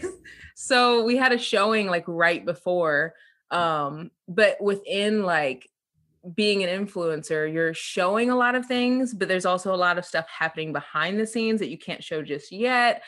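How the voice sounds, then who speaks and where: A young woman from Central Illinois speaks as a podcast guest. Her tone is bright and forward, and her pitch sits on the low side for a woman. She laughs a lot and fills her sentences with "like" and "um.". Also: expressive, measured pace. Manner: giggly, heavy use of "like" and "um". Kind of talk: casual interview chat.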